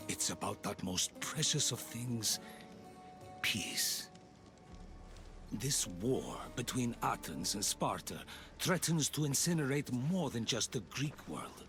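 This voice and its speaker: An actor playing an aging, zealous Persian leader of a secret order in a video game, speaking in a grandiose, deliberate manner. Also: male voice, medium pitch, animated, over music. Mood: solemn conviction. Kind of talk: video game cutscene acting.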